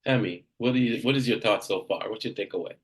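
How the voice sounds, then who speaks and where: A man's voice: lowish voice, quick pace. Emphatic Jamaican-born man coaching a group. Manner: direct, emphatic, repeats himself. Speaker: real estate coach. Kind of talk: live group coaching session.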